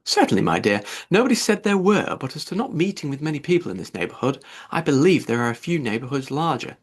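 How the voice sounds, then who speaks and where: Man voicing fussy gushing mother, swooping pitch. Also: big pitch swings, fast talker, husky. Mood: indignant, triumphant. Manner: effusive, boastful, indignant. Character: fussy, gushing, easily offended middle-aged mother. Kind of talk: classic novel audiobook dialogue.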